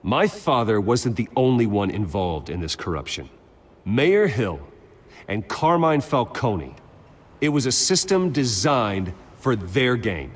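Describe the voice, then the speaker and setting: An actor playing a stern, defiant wealthy executive in a video game, speaking in a measured, grave way. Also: male voice, mid-range voice, sing-song, breathy edge, heavily acted. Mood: grave, formal.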